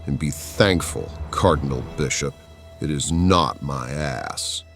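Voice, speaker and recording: Man voicing a slow, deep, menacing medieval cardinal. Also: vocal fry, fairly bright tone, soft background music, full character voice. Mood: cold menace. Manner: slow, commanding, pompous. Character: menacing, all-seeing medieval cardinal with godlike arrogance. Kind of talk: dramatic audiobook character acting.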